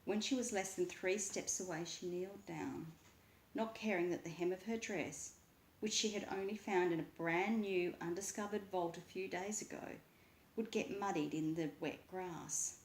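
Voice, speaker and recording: A woman reading a children's book aloud with expressive, deliberate, dramatic narration. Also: on the low side, a little hiss or hum. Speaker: story reader. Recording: roomy, echoing voice.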